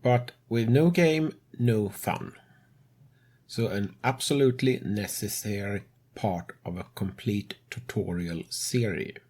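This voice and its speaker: A non-native-English man narrates a computer game tutorial he hosts. His voice sits a little low and breathy, and he takes his time over each phrase. The grammar slips now and then, but the delivery stays calm and measured. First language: not English. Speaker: tutorial host.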